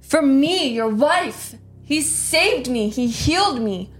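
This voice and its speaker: A woman's voice: high-pitched, animated, takes it slowly, a little hiss or hum. A woman playing a devoted, pleading wife of a Roman governor in a religious audio drama, earnest and imploring.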